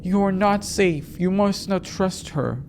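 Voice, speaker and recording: A performer voicing a ghostly young lost sister in a horror game, with a weak, frail voice and slow, drawn-out pleading. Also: medium pitch, a little mellow, slight background noise, pitched above the performer's own voice. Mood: urgent, fading.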